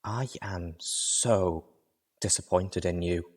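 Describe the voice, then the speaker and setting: A man voicing a refined, compassionate female unicorn singer who speaks softly and with reproach. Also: low-pitched, slow pace, breathy edge, heavily acted. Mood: reproachful, regretful. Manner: reproachful, soft.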